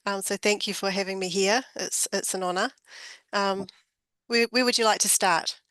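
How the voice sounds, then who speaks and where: A woman with a croaky voice, speaking as a guest advisor on a video call webinar and repeating words as she thinks. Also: medium pitch, fairly even intonation, normal speed, a bit bright, no echo. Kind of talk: webinar discussion.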